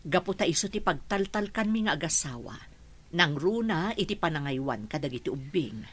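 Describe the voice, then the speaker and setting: An actress playing a middle-aged mother who speaks gently but firmly, with an Ilocano accent. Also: low-pitched, animated, brisk.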